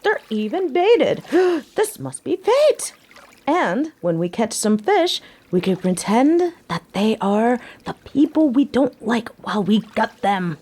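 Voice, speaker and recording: A woman's voice: fairly high pitch, sing-song, slow, a little mellow, heavily acted. A woman playing a tough, sardonic but caring young biker girlfriend in a roleplay audio drama, talking in casual slang with a teasing, wry manner. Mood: playful. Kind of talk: scripted roleplay audio drama, intimate one-sided conversation. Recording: faint background noise.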